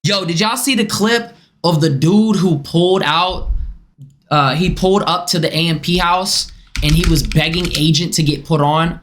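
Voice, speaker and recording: A young man with a high, boyish voice, streaming casually as he reacts to clips, animated and swearing. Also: moderate pace, slight background noise.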